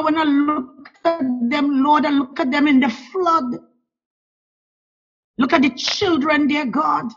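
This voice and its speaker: A woman leading a fervent spoken prayer, pleading and repeating calls to God and the Lord between phrases. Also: sits high. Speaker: prayer leader. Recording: dull lo-fi recording.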